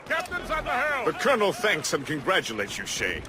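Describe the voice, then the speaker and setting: High, hearty ship's mate. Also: male voice, wildly swooping pitch, a little fast, a loud crowd. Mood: hearty, assured. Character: hearty older first mate, loyal and confident. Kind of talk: dramatic video game cutscene acting. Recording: loud sea and ship noise.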